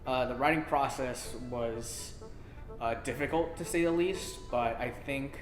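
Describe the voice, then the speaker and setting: A man's voice: mid-range voice, a little slow. A man answering interview questions as a play's director and writer. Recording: echoey room, music behind.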